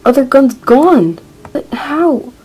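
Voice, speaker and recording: A woman acting an injured young woman searching for her lost friend in an amateur audio drama, talking in a breathy half-whisper and often out of breath. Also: high voice, sing-song, slow pace, a little mellow, soft background music, exaggerated voice, rough recording. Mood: calling worried.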